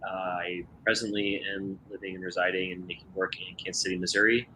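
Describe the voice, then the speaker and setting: Deep, bright-voiced man on a panel. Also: level tone, fast. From southern and eastern United States. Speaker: panelist, ceramicist. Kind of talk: self-introduction on a panel. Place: indoors on a video call. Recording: faintly noisy video call.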